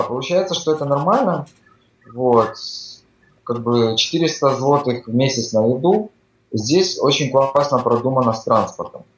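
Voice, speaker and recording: A man chatting casually as a guest in an interview, explaining at length with lots of fillers. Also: mid-range voice. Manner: relaxed, explanatory, with frequent fillers. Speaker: guest living abroad. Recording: echoey dull remote audio.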